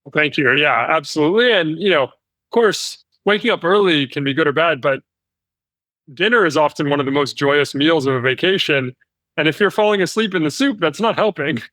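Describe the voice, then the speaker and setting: An American man, a company founder, telling travel stories as an interview guest with lively delivery and frequent fillers. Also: on the high side, brisk, dry recording. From United States. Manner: animated storytelling with frequent fillers. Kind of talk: conversational interview.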